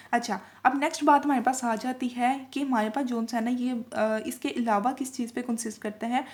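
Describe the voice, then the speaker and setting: A woman's voice: a little high, a little mellow. A woman lecturing informally, explaining step by step with much repetition, frequent check-in tags and English technical terms mixed in. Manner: switches between Urdu-Hindi and English technical terms. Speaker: lecturer in physiotherapy video lessons.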